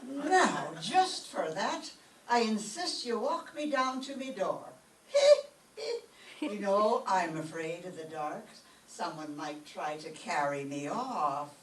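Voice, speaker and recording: Woman acting older Irish landlady, fussy, animated pitch, radio sitcom style, roomy distant sound. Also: takes it slowly, slight background noise. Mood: coy, insistent. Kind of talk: old-time radio comedy acting on stage. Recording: roomy, echoing, distant stage microphone.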